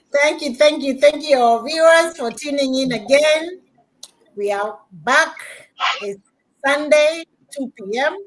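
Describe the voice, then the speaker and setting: A woman's voice: high voice, takes it slowly, long pauses, low-quality recording. A woman hosting a live-streamed panel chat over a video call, welcoming and upbeat. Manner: warm, welcoming and upbeat, repeats thank-yous. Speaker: host.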